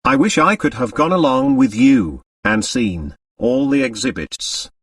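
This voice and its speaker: A man's voice: mid-range voice, wildly swooping pitch, a little slow, gravelly, husky, no echo, studio quality, far from the mic, heavily acted. A man voicing a naive, childlike society husband in an audiobook, murmuring with wistful glee.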